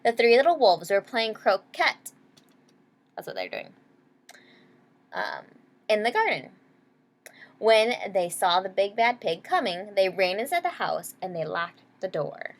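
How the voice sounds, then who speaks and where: Lady reading a kids book out loud like a teacher, lots of up and down in her voice. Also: medium pitch, sing-song, unhurried, pauses often. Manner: checks in with listeners using short questions. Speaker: read-aloud storyteller, teacher. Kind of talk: children's picture-book read-aloud with explanations.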